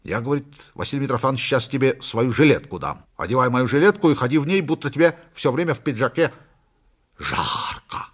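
A man's voice: mid-range voice, expressive, fairly quick, lightly acted. A man voicing a slangy, earnest male pal handing out absurd advice in a comic story.

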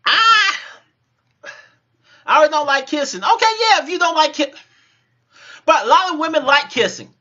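A man's voice: very high voice, normal speed, pauses often. A man in his thirties co-hosting a casual live chat from a home kitchen, teasing and laughing as he argues. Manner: animated, teasing, laughs a lot. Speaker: co-host. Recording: muffled lo-fi webcam audio.

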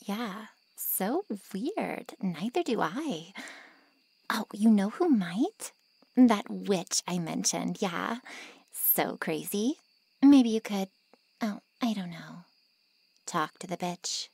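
A haughty female vampire, played by a woman in an ASMR audio drama, drawling slowly with mocking sarcasm. Her voice is breathy and creaky, very close and dry, dripping with callous disdain. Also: medium pitch, lively intonation, darkish tone, dry recording, heavily acted. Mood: sarcastic.

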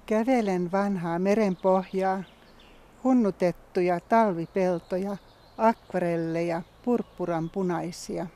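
Woman reading poetry, pretty low voice, dark and soft-edged tone. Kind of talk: poetic recitation.

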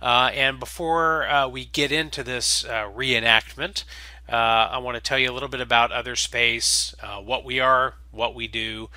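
A man hosting an audio log, talking casually and haltingly, with very frequent uhs. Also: mid-range voice, steady tone, bright, forceful tone, no echo. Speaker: host and game creator.

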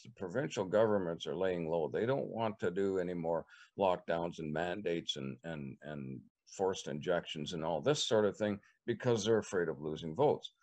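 An older man with a Canadian accent, answering interview questions on a video call from a living room, measured and repeating words as he thinks. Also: low-pitched, darkish tone. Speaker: political candidate. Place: living room with leather couch. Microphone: webcam on video call.

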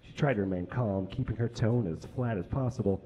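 A man's voice: on the low side, brisk, a little hiss or hum. A young man reading aloud theatrically on a small stage, emphatic and sometimes tripping over his words. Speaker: live reader on stage. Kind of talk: comic dramatic reading of fan fiction to an audience. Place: small indoor stage with curtains. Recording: slightly muffled live stage recording.

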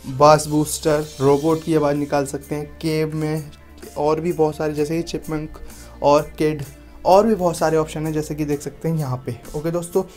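A man's voice: a little high, darkish tone. A man with an Indian accent giving a casual tutorial voice-over as a video host, often addressing viewers as friends and repeating himself. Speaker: YouTube app reviewer, host. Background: background music.

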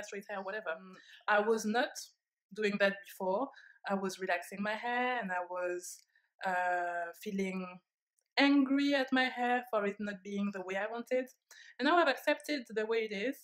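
A woman's voice: mid-range voice, moderate pace, fairly bright tone, rough recording. A young woman with a French accent, talking to camera from her living room in a candid, reflective way with frequent fillers. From France. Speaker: vlogger. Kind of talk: casual talk to camera. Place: living room, seated on a leather sofa.

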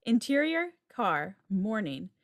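A woman's voice: medium pitch, big pitch swings, slow. Woman reading stage directions. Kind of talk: scripted narration at a table read. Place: indoor room, video call. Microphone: video call microphone.

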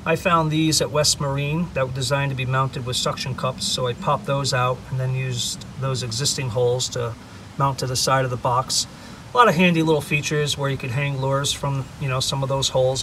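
A man casually walking the viewer through his gear outdoors, relaxed and chatty with frequent ums and restarts, recorded on a handheld camera. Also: mid-range voice, brisk, slight background noise, low-quality recording. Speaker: outdoors vlogger. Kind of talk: casual show-and-tell walkthrough. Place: outdoors beside a canoe.

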